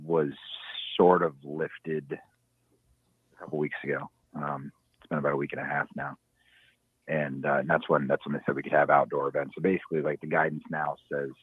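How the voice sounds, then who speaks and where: A low, husky man calls in on a thin, phone-like line. His voice sits very deep, with a dry, rough edge. He rambles in a casual way and often restarts his sentences. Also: dry recording. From Ohio. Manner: rambling, hesitant with many restarts. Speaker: caller.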